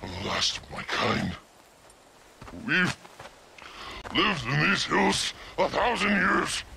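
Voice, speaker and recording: A gravelly, weary Sasquatch. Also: male voice, high-pitched, very animated, slow, pushed and bright, a little hiss or hum, heavily acted. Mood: bitter. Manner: bitter, pleading outbursts. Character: last lonely male Sasquatch, weary and proud. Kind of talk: video game cutscene acting.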